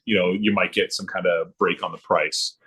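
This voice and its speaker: Guy on a podcast, pretty deep voice, bit raspy, rambles w lots of ums and restarts. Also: steady tone, fairly bright tone. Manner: halting, rambling, many ums and repeated words. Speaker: podcast guest. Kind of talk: casual chat about hobbies.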